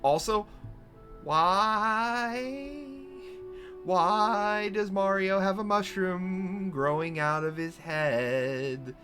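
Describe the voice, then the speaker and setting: Male game streamer, unhurried and halting, incredulous joker, faint music and typing behind. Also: high-pitched, sharp tone. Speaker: streamer playing an AI storybook game. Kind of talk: casual playthrough commentary.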